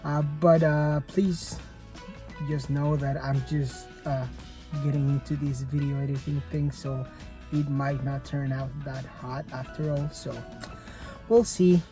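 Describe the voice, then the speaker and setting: Halting young man with Spanish roots, music behind. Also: mid-range voice, little pitch movement. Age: 20s. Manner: hesitant, halting, full of fillers and restarts; dry, self-mocking humor. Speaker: fitness vlogger. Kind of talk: casual vlog chat. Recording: music playing under the voice.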